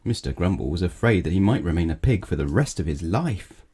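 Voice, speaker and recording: A man with a low-pitched, dark and mellow voice narrates a children's storybook. He reads aloud as a storyteller for young listeners. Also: lively intonation, brisk, slightly rough.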